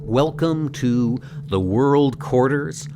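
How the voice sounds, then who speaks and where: A man playing a calm, solemn cosmic guardian with a slow, measured delivery in a comic dub. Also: medium pitch, very noisy.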